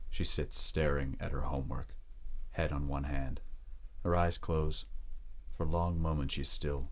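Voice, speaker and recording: Very deep-voiced male drama narrator. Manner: measured, descriptive reading. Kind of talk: scripted audio drama narration.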